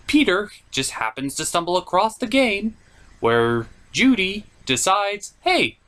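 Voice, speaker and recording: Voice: medium pitch, a little slow. Sarcastic film reviewer with wildly swooping delivery. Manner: animated, sarcastic. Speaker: comedic film reviewer and host. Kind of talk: comedic movie recap commentary.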